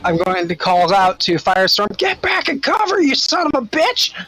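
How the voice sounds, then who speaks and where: A man playing a tabletop game, excitably calling out his moves in casual talk and acting out battle calls. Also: sits high, moderate pace, slight background noise.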